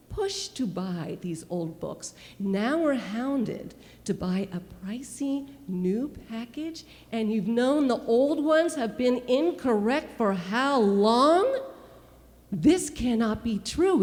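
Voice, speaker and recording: A woman's voice: a little high, a little mellow. A woman performing an autobiographical cabaret monologue with wry, emphatic storytelling, recorded live on a small club stage through a stage microphone. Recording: live club with reverb and piano.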